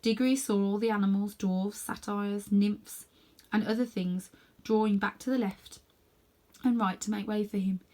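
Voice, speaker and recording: A woman narrating a children's novel as an expressive read-aloud storyteller. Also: medium pitch, normal speed. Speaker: storybook reader.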